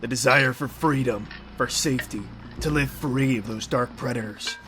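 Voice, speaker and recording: A man's voice: mid-range voice, moderate pace, pushed and bright, lightly acted. An actor playing a shrewd, folksy, grizzled leader of vampire-hunting outlaws in a fantasy audio drama, needling as he talks. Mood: persuasive. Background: music.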